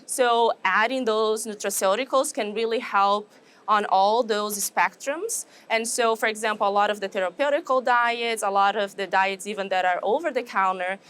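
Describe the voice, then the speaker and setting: A woman from Brazil with a Brazilian Portuguese accent, a professor answering interview questions in a measured, explanatory way with frequent fillers and restarts. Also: medium pitch, fairly bright tone, clean tone. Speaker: animal science professor, guest. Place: trade show.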